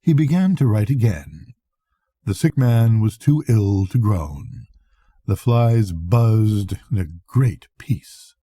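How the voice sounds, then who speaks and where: An older man narrating a bedtime audiobook as a grandfather would, reading deliberately, phrase by phrase. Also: medium pitch, mellow, breathy edge, dry recording, studio quality.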